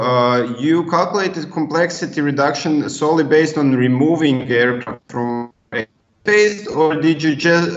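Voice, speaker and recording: Male academic questioner, roomy and dull video-call sound, spontaneous technical phrasing. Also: mid-range voice. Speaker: academic questioner at a thesis defense.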